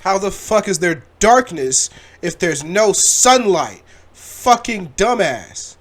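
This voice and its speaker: A man giving casual, profane reaction commentary in a blunt, animated way, swearing freely. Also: high voice, takes it slowly. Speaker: reaction video host.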